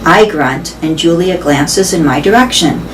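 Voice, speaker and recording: A woman's voice: fairly low pitch, lively intonation, a little slow, distant mic. A woman reading a children's story aloud in a storytelling style. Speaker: reader of a children's novel. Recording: clear background noise.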